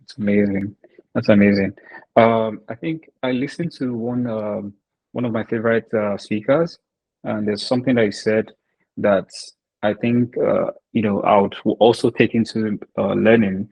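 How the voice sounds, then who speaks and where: A man's voice: lowish voice, steady tone, moderate pace, creaky, breathy edge, dry recording, lo-fi sound. A man hosting a podcast, talking reflectively with lots of fillers and hesitations. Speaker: podcast host. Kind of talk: casual podcast interview.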